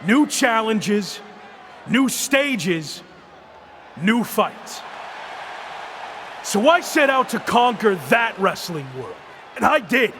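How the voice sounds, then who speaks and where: A man playing a cocky, determined returning wrestler in a video game, delivering an emphatic and deliberate promo. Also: high voice, big pitch swings, exaggerated voice. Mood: reflective, defiant. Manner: emphatic, deliberate arena speechmaking. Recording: crowd and arena noise behind. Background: loud arena crowd ambience.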